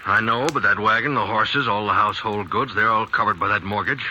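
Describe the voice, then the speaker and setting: A man playing a weary, humbled farmer in a vintage-style radio drama, quiet and plainspoken. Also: on the low side, fairly quick, highs cut off, slight background noise.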